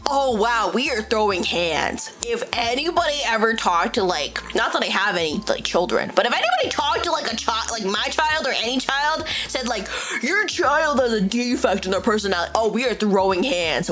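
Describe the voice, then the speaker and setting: Young female streamer, bright-pitched and expressive, chatting over faint background music. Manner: animated, exclaiming, swearing, laughing often. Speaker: VTuber streamer. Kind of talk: casual live-stream reading and chat.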